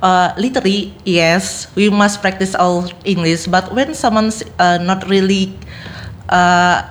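A woman's voice: medium pitch, fairly even intonation, slight background noise. Hesitant woman with an Indonesian accent. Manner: halting English with many pauses and fillers. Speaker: community group member. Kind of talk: casual chat in non-native English.

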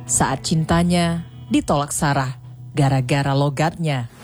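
A woman narrator with a lowish voice, telling the story in a measured way. Guitar music plays loudly behind her. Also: fairly even intonation. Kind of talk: scripted narration.

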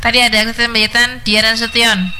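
A woman's voice: fairly high pitch, flat delivery, normal speed, background noise. A middle-aged woman, hosting a casual, chatty radio call-in show. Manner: chatty, laughs often. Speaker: radio host.

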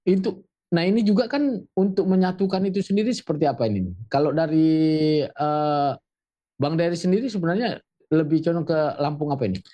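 A man's voice: on the high side, brisk, dark tone. A man co-hosting a radio talk show who asks questions and keeps up short backchannel replies. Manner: prompting, frequent short backchannels. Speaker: radio co-host.

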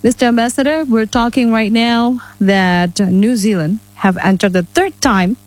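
A woman's voice: fairly high pitch, normal speed, darkish tone, no echo, poor audio. A woman hosting a casual radio interview in a studio, chatty, with frequent "uh" and tag questions. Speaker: radio host.

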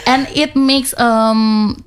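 A woman interviewing a guest in English, pausing often with "um" and "uh". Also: a little high, steady tone, a little hiss or hum. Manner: hesitant, many "um" and "uh" pauses. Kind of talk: casual interview.

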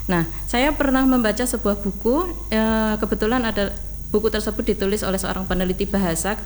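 Mid-pitched woman panelist, faint background noise. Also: a little fast, a little mellow. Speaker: guest on a language panel. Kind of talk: explanatory panel talk.

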